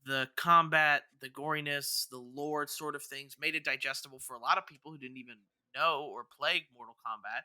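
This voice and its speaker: Male co-host, strikingly bright voice, dry close sound, casual stop-and-start podcast chat. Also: a little high, fairly even intonation, normal speed, few pauses, clear, resonant tone, no room sound.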